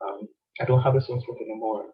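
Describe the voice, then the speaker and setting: A man's voice: medium pitch, level tone, fast, slightly rough, lo-fi sound. A man teaching a math lecture, nasal and stuffed up from a cold. He repeats his points and keeps checking that the class follows him. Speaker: university lecturer.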